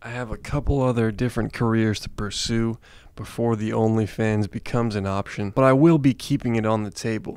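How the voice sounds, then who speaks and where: A man's voice: lowish voice, clear, resonant tone, no echo. A young man giving a laid-back, mock-serious comedy monologue into a handheld mic in a small room. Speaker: comedy vlogger. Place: small room with green-screen backdrop. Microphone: handheld mic held close.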